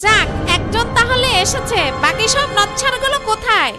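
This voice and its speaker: An extremely high-pitched ghost schoolmistress from a comic cartoon, acted by a woman. She is strict, bossy and quick to threaten her pupils, sounding fed up. Loud music plays behind her. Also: expressive. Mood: scolding. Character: strict, scolding female ghost schoolteacher leading a class trip.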